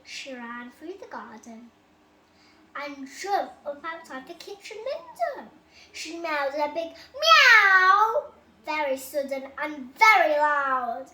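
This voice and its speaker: A high-pitched child reads a bedtime story aloud. The delivery is slow and dramatic, with a very animated melody. The sound comes from an echoey room. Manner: expressive, dramatic reading. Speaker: storybook reader. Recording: roomy echo, loud enough background noise.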